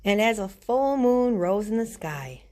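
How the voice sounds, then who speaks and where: A woman reading a children's storybook aloud in an expressive narrating style. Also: mid-range voice, measured pace, a little hiss or hum. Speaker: storybook reader.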